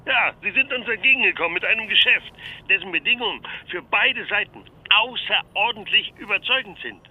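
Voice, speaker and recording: An actor playing a brisk male lawyer in a radio drama, pressing and businesslike on a telephone call. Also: high voice, breathy edge. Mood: excited, insistent.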